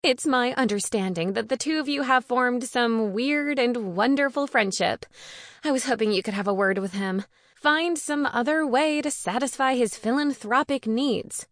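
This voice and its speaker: A woman's voice: a little high, moderate pace, no echo, voice pushed up, natural voice. A woman voicing a haughty, controlling young woman in an audiobook, huffy, dramatic and emphatic. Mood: insistent.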